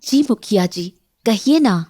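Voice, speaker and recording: A woman's voice: medium pitch, lively intonation, unhurried, mellow, husky. A woman voicing an earnest, determined young village shopkeeper in a cartoon, respectful and pleading.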